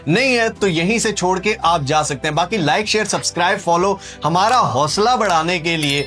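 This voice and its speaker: A man's voice: high voice, normal speed, fairly bright tone, faint music behind. A man with a Pakistani accent giving an informal online tutorial, speaking emphatically with repetition and rhetorical questions. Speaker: online skills tutor and video host. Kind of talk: informal tutorial narration, walking viewers through websites.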